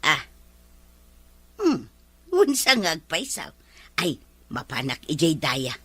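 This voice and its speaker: Woman playing a nagging older village woman, bright-voiced. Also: sits low, sing-song, slow pace, breathy edge, dry recording, full character voice. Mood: pleading, grumbling. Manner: grumbling, insistent. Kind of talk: comedy radio-style drama acting.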